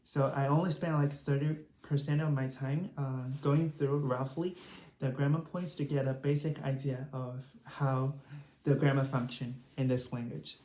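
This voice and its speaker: A woman's voice: very deep voice, steady tone, normal speed, dark tone. A woman with a Chinese accent, a native Chinese speaker, casually sharing language study tips as a vlogger. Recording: roomy, echoing sound.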